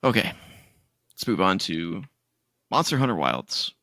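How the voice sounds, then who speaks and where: A man co-hosting a gaming podcast, his lowish voice gravelly and bright-edged. His pitch swings widely as he talks. The sound is dry and close. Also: big pitch swings, measured pace, no echo. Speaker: podcast co-host. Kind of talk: casual gaming podcast chat.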